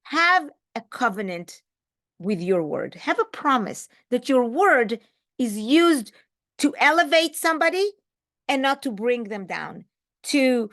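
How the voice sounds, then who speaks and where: A woman giving a reflective spiritual teaching talk, speaking emphatically with rhetorical questions and pauses for effect. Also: high-pitched, fairly bright tone. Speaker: religious teacher.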